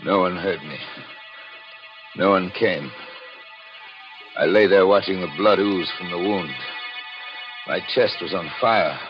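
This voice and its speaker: Low, slow, anguished dying man. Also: highs cut off. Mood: pained, grim. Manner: dramatic, halting first-person narration. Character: dying gunshot man narrating his murder, anguished, frantic. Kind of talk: 1940s radio thriller acting. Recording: vintage 1940s radio recording with music.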